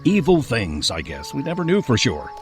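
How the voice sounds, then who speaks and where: A man's voice: medium pitch, wildly swooping pitch, moderate pace, soft background music. A man playing an uneasy farm-raised man in an audio drama, with folksy, suspenseful delivery. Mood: mysterious. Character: uneasy farm-raised man telling spooky childhood tales.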